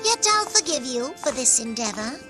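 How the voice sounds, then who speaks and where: An actress voicing a small, whimsical creature in a video game, prim and scolding, in singsong rhyme. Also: female voice, high-pitched, very animated, moderate pace, music in the background. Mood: prim, bossy. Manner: prim, bossy, singsong rhyming. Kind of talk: video game cutscene acting.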